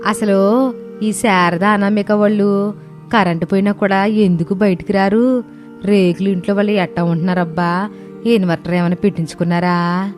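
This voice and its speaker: A woman's voice: lowish voice, darkish tone, natural voice. A woman doing a nosy gossiping village neighbor. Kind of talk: cartoon story dubbing.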